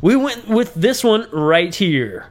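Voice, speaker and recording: A man's voice: high voice, big pitch swings, takes it slowly. A man co-hosting a podcast in casual chat, riffing and laughing a lot. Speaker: podcast co-host.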